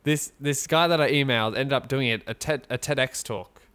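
A man co-hosting a podcast in casual chat, rambling and joking with halting restarts. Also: mid-range voice, a bit bright, clean tone. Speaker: podcast co-host. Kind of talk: casual chat, mock sponsor read.

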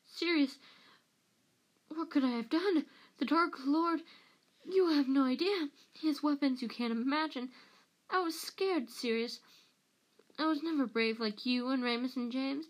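A woman narrator acts out a cowering traitor of a man in a storybook reading. The voice is pitched high, whining and gasping. He wheedles and stammers, tearful and terrified. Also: animated, measured pace. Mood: tearful, frightened.

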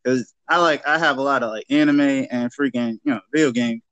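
A man's voice: medium pitch, fairly quick, a bit bright, no room sound, muffled recording. Casual, slangy man in his thirties. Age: 30s. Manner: swearing, informal. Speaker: guest, independent wrestler. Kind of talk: casual chat, slangy podcast talk.

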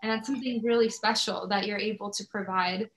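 A woman's voice: medium pitch, fairly even intonation, a little fast, a bit bright, soft background music. Echoey-sounding woman podcast host. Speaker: podcast host interviewing a guest. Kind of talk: conversational interview.

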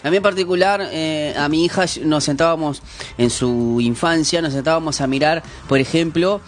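A middle-aged man with a Uruguayan accent hosting a casual radio chat, halting as he searches for words. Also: fairly high pitch. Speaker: radio host. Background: music in the background.

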